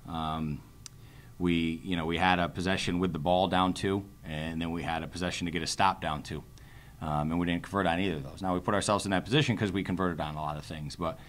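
A middle-aged man, a basketball coach, answering press conference questions in a measured, halting, analytical way. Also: low voice, fairly bright tone. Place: indoor press conference room, seated at a table. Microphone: tabletop microphone.

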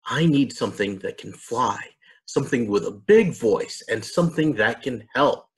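A man voicing an earnest, curious young girl in an expressive, wondering way. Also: mid-range voice, moderate pace, close to an everyday voice. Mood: earnest, eager.

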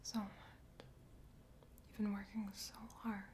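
A woman in her twenties speaking in a soft, breathy whisper, tender and comforting, close to the mic in an ASMR roleplay. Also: medium pitch, flat delivery, a little slow, slight background noise. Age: 20s. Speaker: ASMR creator. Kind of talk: soft-spoken ASMR personal attention roleplay. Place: small quiet room indoors. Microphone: close to the mouth.

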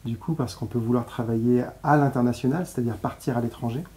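A man's voice: mid-range voice, steady tone, fast talker, mellow. A man in his thirties, deputy director of a school, answering interview questions in a studio with many hesitations. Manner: explanatory, with frequent hesitations. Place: TV studio set, seated at a table.

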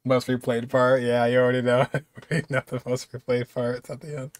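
A young man giving casual, excited reaction commentary with exclamations and slang. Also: medium pitch, moderate pace, no room sound. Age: young adult. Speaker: game reaction video host.

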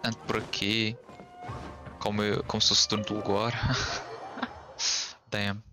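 A man's voice: fairly low pitch, little pitch movement, unhurried, over music. A young man giving casual playthrough commentary as a streamer, slipping between languages with English slang. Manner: mixes Portuguese with English slang. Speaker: gaming streamer.

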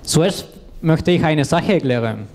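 This is a man in his thirties with a Chilean Spanish accent, Spanish being his first language. His voice is dark, mellow and relaxed. He talks informally into a handheld mic as a coach on stage, using simple, direct non-native phrasing. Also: a little high, unhurried. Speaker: co-presenter, exercise coach. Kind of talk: informal stage talk with guided exercise. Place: indoor event room with seated audience. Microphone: handheld microphone.

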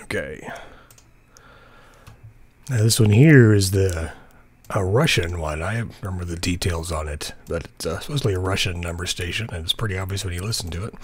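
A man's voice: low-pitched, normal speed, breathy edge. A middle-aged American man rambling casually and thinking aloud as he hosts a hobby vlog. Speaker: host, amateur radio hobbyist. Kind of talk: casual screen-share vlog. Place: room at home. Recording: very noisy, radio static behind.

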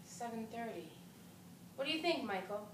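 A woman's voice: fairly high pitch, expressive, normal speed, background noise, close to an everyday voice. An actress playing an earnest, moralizing middle-aged mother who loves art and cooking, on a theater stage. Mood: gushing. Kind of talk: stage play acting.

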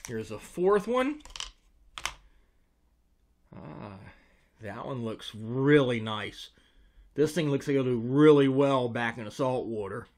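An American man in his forties with a medium-pitched voice talks casually to camera as a review host. His delivery is informal and conversational. He is recorded indoors in a small room on a desk microphone. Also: animated, slow pace, long pauses, a little mellow. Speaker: host, fishing lure reviewer. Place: small indoor room with tackle-covered walls. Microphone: desk microphone beside him.

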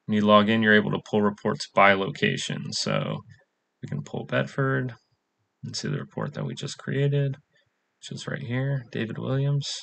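A man's voice: fairly low pitch, huge pitch swings, normal speed, creaky, fairly bright tone. A young adult man, a coding student, casually walking through his web app on a screen recording with lots of "um" fillers. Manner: casual, many "um" fillers, plain walkthrough. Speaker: coding bootcamp student presenting a project. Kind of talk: screen-recorded project demo.

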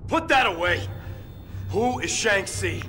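An actor playing a grim, middle-aged criminal partner in a video game, blunt, accusing and profane. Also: male voice, high-pitched, big pitch swings, takes it slowly, over music, full character voice. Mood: suspicious. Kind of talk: video game cutscene acting.

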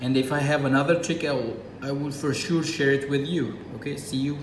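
A man from Egypt with an Arabic accent, whose first language is Arabic. He speaks in a casual, halting way with many fillers, demonstrating something at a table indoors. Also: medium pitch, steady tone, echoey room, background noise. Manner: halting, with frequent fillers and restarts. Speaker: reptile keeper.

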